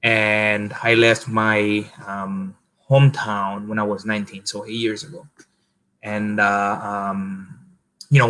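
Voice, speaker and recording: A young man in his twenties speaks with a clear Italian accent in a low, fairly monotone voice. He tells his story haltingly, full of pauses and restarts, as a guest in a relaxed interview. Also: low-quality recording. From Italy. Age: 20s. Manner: hesitant, many fillers and restarts. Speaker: podcast guest, entrepreneur.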